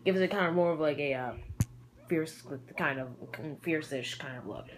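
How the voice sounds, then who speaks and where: A hobbyist presenter with a feminine-sounding voice giving a casual, halting, rambling show-and-tell in a noisy amateur home recording. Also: mid-range voice.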